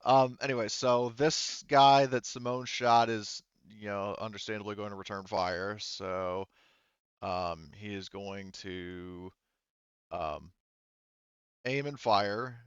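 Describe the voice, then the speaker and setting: Low-voiced man running a tabletop game. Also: fairly even intonation, takes it slowly, clear, resonant tone, no room sound, lo-fi sound. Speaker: game master. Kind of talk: casual tabletop game narration and rules talk.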